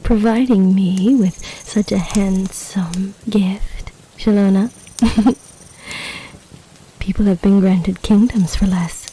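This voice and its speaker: A woman playing an imperious, amorous serpent empress in a fantasy audio drama, speaking formally and seductively in a breathy voice that often drops to a whisper. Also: medium pitch, fairly even intonation, mellow, a little hiss or hum, lightly acted, rough recording. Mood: pleased, adoring. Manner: slow, commanding, formal, seductive. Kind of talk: romantic fantasy audio roleplay.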